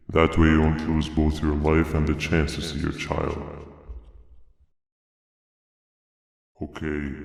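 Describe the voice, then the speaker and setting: A man voicing a calm, menacing monster villain in a horror video game, speaking in a measured, ominously polite, philosophical way. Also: a bass voice, creaky, full character voice. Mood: cold, probing. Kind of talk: scripted horror game character monologue. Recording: long cavernous echoing reverb.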